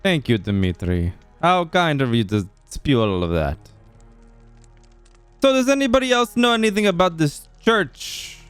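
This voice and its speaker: A man playing a suave, formal vampire lord with courteous, theatrical, deliberate speech in a Minecraft roleplay. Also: a little high, huge pitch swings, clean tone, no room sound, faint music behind. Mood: dryly irritated.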